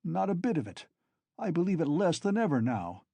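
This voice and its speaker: A man's voice: sits high, sing-song, brisk, dark tone, slightly rough, no echo, voice pushed up, heavily acted. A man reading an audiobook, voicing an embarrassed young clerk who answers hastily. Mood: flustered. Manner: hasty, embarrassed. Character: flustered young police clerk.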